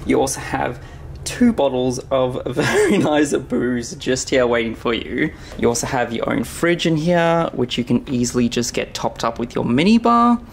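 A man's voice: mid-range voice, animated, normal speed, creaky, a little hiss or hum. Chatty young man touring a ship. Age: young adult. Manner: upbeat, chatty tour-guide delivery. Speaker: travel vlogger. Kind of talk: casual travel vlog tour. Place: indoor areas of a cruise ship. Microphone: camera held at arm's length.

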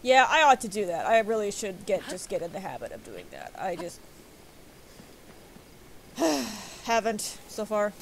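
A woman's voice: medium pitch, sing-song, a little slow, creaky, long pauses, slight background noise, rough recording. A woman in her thirties streaming a video game, chatting casually and relaying messages from chat. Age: 30s.

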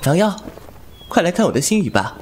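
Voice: mid-range voice, big pitch swings, fairly quick, darkish tone, a little hiss or hum, lightly acted. A voice actor playing a proud, playful male fox spirit with a teasing, petulant way of talking. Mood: boastful. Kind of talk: Chinese audio drama acting. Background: music score.

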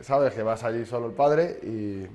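A man's voice: medium pitch, moderate pace, over a noisy crowd. A man in his thirties from Spain, a sports journalist guest chatting casually in a kitchen interview, telling rambling anecdotes with many restarts. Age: 30s.

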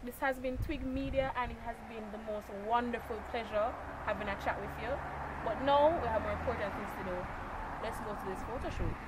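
A young woman with a high voice asks questions in a garden, picked up by a camera mic a few feet away. She sounds upbeat and teasing. Clear outdoor noise fills the background. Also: fairly quick. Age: young adult. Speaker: interviewer. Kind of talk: casual interview.

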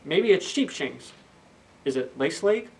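A man's voice: fairly high pitch, big pitch swings, a little slow, vocal fry, darkish tone, full character voice. A man voicing a distressed, helpless young miller's daughter in a plaintive, earnest storybook reading. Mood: hesitant guessing. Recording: slightly distant camera microphone.

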